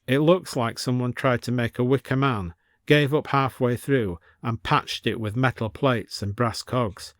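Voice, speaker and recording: A British man narrates a scripted story. His voice is medium in pitch and recorded very dry, with no room around it. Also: measured pace, a bit bright, no echo.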